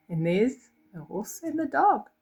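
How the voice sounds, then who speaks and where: A woman's voice: mid-range voice, a little hiss or hum. A woman storyteller reading a children's fairy tale expressively, with chatty asides and acted-out reactions. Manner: animated, acts out reactions.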